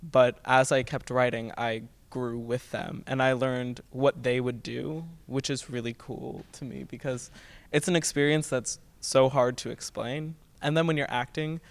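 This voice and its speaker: A man's voice: mid-range voice, fairly even intonation, unhurried, creaky, no echo. A young man, a student actor, giving an earnest, reflective interview answer. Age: young adult.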